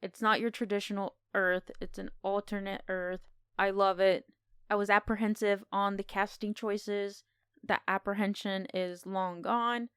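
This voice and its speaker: A woman's voice: medium pitch, fairly even intonation, measured pace, fairly bright tone, clean tone, no echo, clean studio sound. A woman co-hosting a podcast, talking casually as she gives her review of a film.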